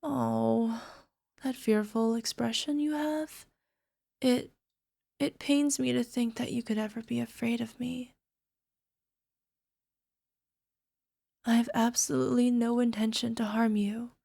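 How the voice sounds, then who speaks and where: A woman's voice: on the high side, steady tone, a little slow, gravelly, mellow, dry recording, clean studio sound. A woman playing a lovesick, possessive young alchemist in an ASMR girlfriend roleplay, speaking in a coy, coaxing, sweetly menacing way. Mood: pained tenderness. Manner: coy, coaxing, giggly, tag questions. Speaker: voice actor.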